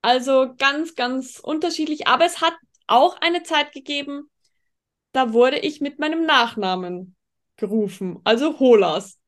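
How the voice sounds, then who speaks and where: High-voiced Tyrolean woman with rolled R. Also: measured pace. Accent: Tyrolean Austrian German. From Tyrol, Austria. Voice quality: strongly rolled R, harsh throaty K. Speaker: podcast co-host. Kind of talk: casual podcast chat.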